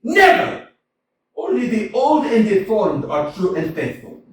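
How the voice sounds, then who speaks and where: A man playing a loud, blustering landowner. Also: sing-song, a little slow, a little hiss or hum. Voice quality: booming, theatrical. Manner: shifts between shouting and mock-tender crooning. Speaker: amateur actor in a staged reading. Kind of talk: staged play reading. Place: small community theater stage. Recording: echoey hall from a distance.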